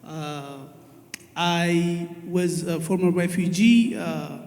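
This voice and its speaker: A man from Ethiopia introducing himself on a conference panel, pausing often with fillers. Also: sits high, steady tone, darkish tone. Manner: hesitant, many "uh" fillers. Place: conference hall. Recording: long reverb of a hall.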